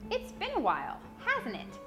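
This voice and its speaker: A woman's voice: very high voice, huge pitch swings, moderate pace, roomy sound, music in the background, voice pushed up, heavily acted. A woman voicing a small, sleepy cricket houseguest in a children's storybook, childlike and singsong. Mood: sleepy, polite.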